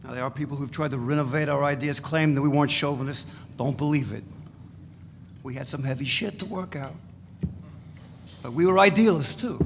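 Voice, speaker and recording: A man voices a blunt, boastful ex-radical fugitive at a live reading. His voice is gravelly and sits a little high. The room rings with a long echo, and there is a faint hiss underneath. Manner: blunt, provocative storytelling. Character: brash male ex-radical fugitive, boastful, crude. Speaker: reader in a dramatic reading. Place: event space.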